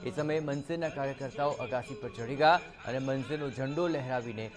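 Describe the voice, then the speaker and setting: A man's voice: medium pitch, fairly quick. A man anchoring a news broadcast, reading and ad-libbing the reports. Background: background music.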